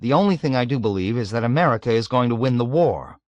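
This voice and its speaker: A man's voice: mid-range voice, expressive, fast talker, no room sound, highs cut off, pitched above the performer's own voice, lightly acted. A man voicing an earnest young American. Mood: puzzled. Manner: bashful, then outraged, cries out.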